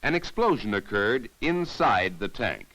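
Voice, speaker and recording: A man giving formal, measured, didactic narration in the scripted style of a 1950s educational safety film. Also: lowish voice, very animated, a bit bright, poor audio.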